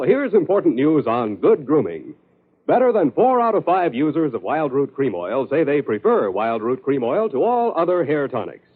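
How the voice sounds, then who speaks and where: A man's voice: fairly high pitch, slightly rough. A man announcing a scripted 1940s radio commercial in an emphatic, polished sales style. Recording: muffled vintage radio broadcast recording.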